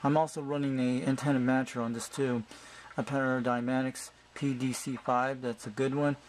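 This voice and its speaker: A man's voice: medium pitch, flat delivery, moderate pace. Man on a noisy recording, chatting casually. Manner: informal, friendly, CB slang. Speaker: amateur CB radio operator. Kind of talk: casual hobbyist chat and radio talk. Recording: clearly noisy home video recording.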